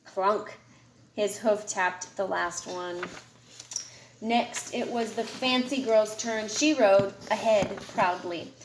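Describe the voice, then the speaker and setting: A woman's voice: medium pitch, slow, reverberant, a little hiss or hum, rough recording. A woman reading a children's picture book aloud to a child, expressively. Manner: expressive, puts feeling into the dialogue. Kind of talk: read-aloud storytelling to a child.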